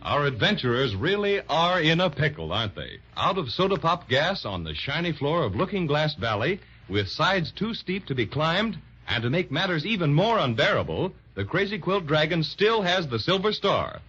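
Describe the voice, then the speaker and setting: A man's voice: fairly high pitch, big pitch swings, moderate pace, a bit bright, breathy edge. A man narrating a children's radio serial of the 1930s in a playful storybook tone. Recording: dull old 1930s radio transcription with music.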